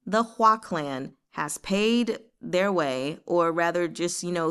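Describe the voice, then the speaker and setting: A woman speaks with a clean, smooth tone that sits a little low for a female voice. She hosts a read-along in a casual, chatty way. Her delivery is expressive and digressive, wandering into little asides as she shares her thoughts. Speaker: host of a book read-along. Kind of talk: casual chatty book discussion.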